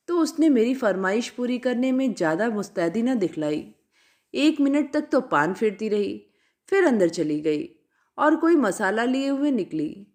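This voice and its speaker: A woman with an Indian accent reading a classic short story aloud as its narrator, in an expressive storytelling style. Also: on the high side.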